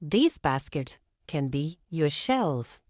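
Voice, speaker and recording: Woman voicing a hearty old grandpa pig, animated. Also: sits low, slow, dry recording, studio quality, full character voice. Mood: playful.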